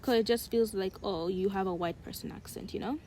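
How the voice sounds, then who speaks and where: A woman's voice: mid-range voice, brisk, a little mellow, slight background noise. A young woman, in her teens, with an American accent, reflective and casual, co-hosting a podcast chat with many filler likes. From Uganda. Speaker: podcast co-host.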